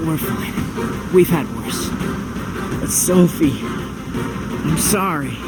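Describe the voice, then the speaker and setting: An actor playing a battered but stoic ally in a video game, apologetic, with strained, halting speech. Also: male voice, a little high, lively intonation, heavily acted, rough recording. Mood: pained, sorry. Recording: loud background music.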